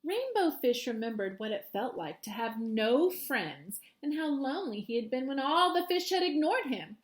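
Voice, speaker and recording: A woman's voice: sits high, very animated, moderate pace. A woman teacher reading a children's storybook aloud expressively to her students.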